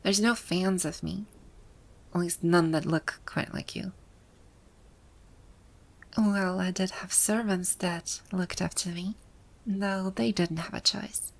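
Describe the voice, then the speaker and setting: A woman's voice: on the low side, steady tone, takes it slowly, long pauses. A woman playing a haughty, easily flustered female final-boss villain from a video game in an ASMR roleplay, with an imperious, indignant manner. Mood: baffled, haughty. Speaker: voice actor.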